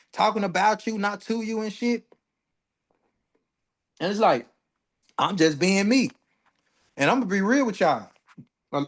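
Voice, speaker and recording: Blunt, profane man in his forties. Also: fairly high pitch. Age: 40s. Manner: blunt, profane, worked up when ranting. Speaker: astrology livestream host. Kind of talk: casual livestream talk.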